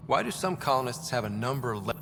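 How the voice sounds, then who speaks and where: A man's voice: mid-range voice, lively intonation, fast talker, noisy background. A man playing a young space explorer leader in a video game, talking in a casual, direct way. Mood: direct, inquiring. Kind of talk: video game character dialogue. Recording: reverberant hall, clear background ambience.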